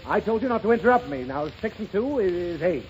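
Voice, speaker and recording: Brief: an exasperated father, lively scolding delivery, dull old broadcast sound. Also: sits high, fairly quick, a little hiss or hum. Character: harried, impatient father at his desk. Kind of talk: 1930s radio comedy acting. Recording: muffled 1930s radio recording.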